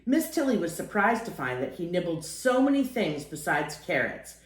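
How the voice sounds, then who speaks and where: A woman reading a children's picture book aloud in an expressive storytelling style. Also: fairly low pitch, normal speed, reverberant.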